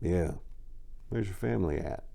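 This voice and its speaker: A man's voice: sits low, moderate pace, gravelly, warm tone, heavily acted. Man acting as young streetwise guy, mischievous, casual, teasing, crime story character. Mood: cheeky, curious.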